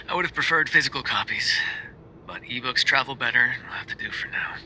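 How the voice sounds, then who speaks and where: A man playing a tender, sheepish, bookish mafia boyfriend in an ASMR roleplay, speaking softly and hesitantly as if on a phone call, often dropping to a whisper. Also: medium pitch, normal speed, a little hiss or hum. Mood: bored, wry. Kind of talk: ASMR roleplay voice acting, intimate phone voicemails.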